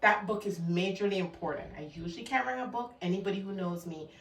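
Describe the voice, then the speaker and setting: A woman's voice: mid-range voice, fast, roomy sound, far from the mic. A woman with a Guyanese accent giving an emphatic motivational talk, repeating her key words for stress. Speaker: motivational vlogger.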